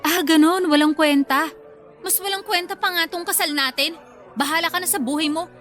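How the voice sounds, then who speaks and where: A woman acts out a quick-tempered bride-to-be with a high voice. She is playful and prodding, quick to take offence, in radio drama dialogue over a faint music bed. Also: a bit bright. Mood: offended, exasperated.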